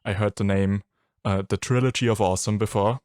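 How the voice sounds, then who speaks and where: Low-voiced podcast host. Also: male voice, brisk, dry recording, studio quality. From Austria. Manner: relaxed, laughs often. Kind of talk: casual film chat.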